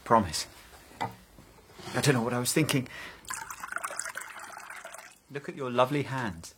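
An actor playing a bitter, jealous, fragile young man with a husky voice in a stage drama. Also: mid-range voice, expressive, takes it slowly, noisy background. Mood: intimate, bitter. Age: young adult.

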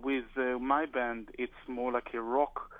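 A man's voice: medium pitch, flat delivery, measured pace. A man who grew up in Israel, a touring drummer giving a phone interview, speaking hesitantly with many fillers and restarts. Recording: tinny phone line, dry.

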